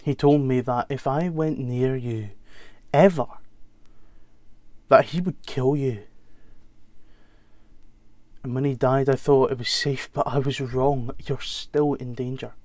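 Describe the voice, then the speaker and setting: A man's voice: mid-range voice, steady tone, darkish tone, dry recording, close to an everyday voice. Pleading, remorseful mother played by a man. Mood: urgent, fearful. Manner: earnest, halting, pleading. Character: pleading, remorseful mother of a grown abandoned son. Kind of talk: dramatic acted dialogue.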